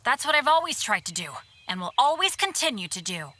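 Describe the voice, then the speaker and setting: A woman's voice: fairly high pitch, big pitch swings, brisk, bright, forceful tone, heavily acted. A woman playing an earnest, grateful, upbeat young adventurer in an anime game, with a sincere delivery.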